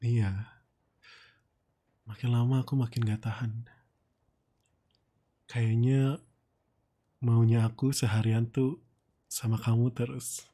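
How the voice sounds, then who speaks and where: Man playing a breathy, mellow, flirtatious boss. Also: mid-range voice, little pitch movement. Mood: coaxing, flirtatious.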